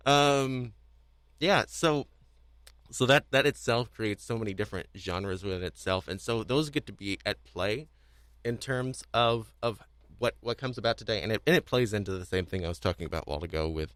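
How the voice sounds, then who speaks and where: A man with a fairly low voice talks casually as a podcast co-host. The recording is close and dry, and he tends to ramble and repeat himself as he works through his thoughts. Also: normal speed, a bit bright, smooth, dry recording. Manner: rambling, repeats phrases, chuckles often.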